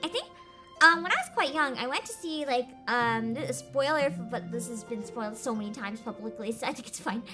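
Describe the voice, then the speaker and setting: A woman with a high, bouncy voice chats on a stream, breaking into giggles between stories. Her pitch jumps all over as she talks, and music plays steadily behind her. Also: brisk. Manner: giggly, laughs often, animated storytelling. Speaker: VTuber streamer host. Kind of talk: casual chat stream.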